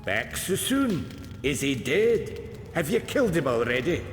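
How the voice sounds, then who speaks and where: A man's voice: on the high side, very animated, a little slow, a little hiss or hum. A man playing an eccentric, kindly old alchemist in a video game, lilting and theatrical. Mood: urgent. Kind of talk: video game character acting. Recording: echoey reverberant sound with music behind.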